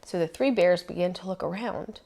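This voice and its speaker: A woman reading a children's storybook aloud as storyteller, expressive and emphatic, lingering on phrases. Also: on the low side, a little fast, gravelly.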